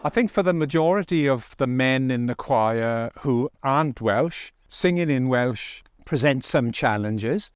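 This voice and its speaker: A man's voice: medium pitch, expressive, a little slow, hardly any pauses. A man from the choir speaking in a matter-of-fact way. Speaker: choir member.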